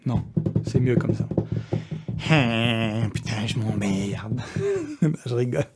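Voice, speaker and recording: A man's voice: medium pitch, takes it slowly, heavy background noise. A young man chatting casually to his online followers in a slangy, crude, joking manner. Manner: joking, crude, prone to breaking into laughter. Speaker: online video creator.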